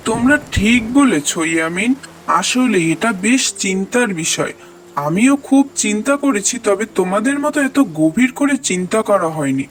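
A thoughtful village father acted by a man speaks in a high male voice. He is kindly and devout, in cartoon style, with faint noisy ambience. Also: lively intonation, moderate pace, a bit bright. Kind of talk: cartoon story acting.

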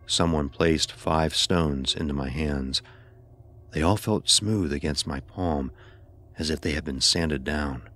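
Casting: male actor as anguished youth narrating dark fantasy horror, extremely low voice, grave and deliberate, music underneath. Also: exaggerated voice. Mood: grave, dread. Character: anguished blind youth recounting a deadly ordeal. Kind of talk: scripted first-person horror narration.

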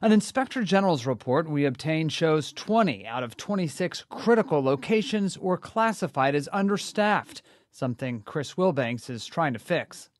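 A man's voice: on the high side, animated, normal speed, no room sound, clean studio sound. A man with an American accent delivering scripted television news narration as a reporter.

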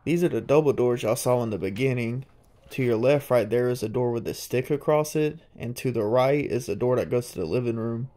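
Excited man exploring an old house. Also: medium pitch, brisk. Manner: excited, marveling, chatty. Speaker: urban explorer vlogger. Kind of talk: casual walkthrough narration. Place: inside an abandoned old house.

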